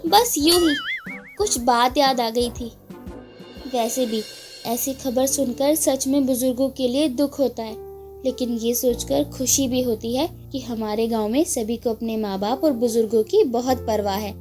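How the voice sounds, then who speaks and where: A kind young village girl with a high voice, played by a woman as a cartoon heroine. She sounds caring and earnest, with music faint in the background. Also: measured pace, darkish tone, close to an everyday voice. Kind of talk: cartoon dialogue.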